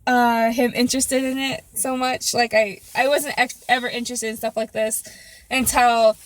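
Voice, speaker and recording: A woman's voice: on the high side, flat delivery, moderate pace, no room sound, poor audio. A woman guesting on a casual podcast, giggly and hesitant with many fillers, recorded on a phone inside a car. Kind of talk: casual chat.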